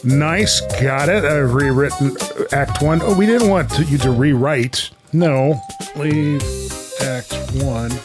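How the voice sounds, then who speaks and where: A man's voice: on the high side, slow, music in the background. A man hosting a show with casual chat and reading aloud, in a playful, goofy manner. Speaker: show host and creator.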